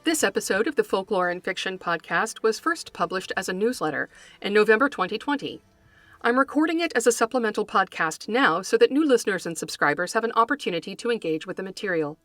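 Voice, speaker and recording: Woman, podcast host, scripted scholarly narration, articulate and measured, mid-range pitch, close dry sound. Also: lively intonation, a bit bright, no room sound, faint music behind. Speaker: podcast host, folklore scholar and fiction writer.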